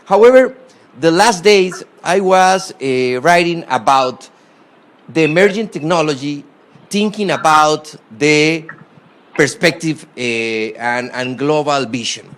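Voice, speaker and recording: A man from Costa Rica with a strong Costa Rican Spanish accent gives a university guest lecture in halting English, full of fillers and restarts, with emphatic stresses on key words. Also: fairly high pitch, lively intonation, a bit bright. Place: lecture hall.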